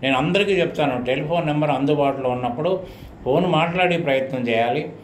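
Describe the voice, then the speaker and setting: Echoey, higher-voiced Telugu Indian man teaching. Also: fast. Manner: didactic, repeats points for emphasis. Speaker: coaching teacher. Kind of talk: informal advisory talk to students. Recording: echoey room, faint background noise.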